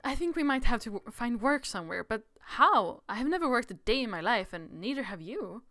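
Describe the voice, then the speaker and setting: A woman's voice: on the high side, sing-song, fairly quick, fairly bright tone. A woman reading aloud the lines of an earnest young runaway royal in a fairy-tale visual novel. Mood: worried.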